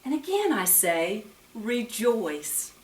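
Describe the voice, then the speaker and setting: Lady doing kids bible storytime, really animated and sing-song, roomy echo like the mic is far off. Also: on the high side, takes it slowly. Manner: animated, sing-song, addressing children with questions. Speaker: children's storyteller and Bible teacher. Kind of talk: read-aloud storytime talk to young children. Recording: roomy echo, distant microphone.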